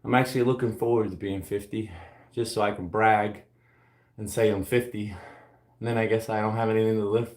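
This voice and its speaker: A man's voice: fairly low pitch, fairly even intonation, brisk, a little mellow. Joking man in his forties, roomy home gym. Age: 40s. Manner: joking, self-deprecating banter between sets. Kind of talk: casual chat while lifting weights. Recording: roomy echo.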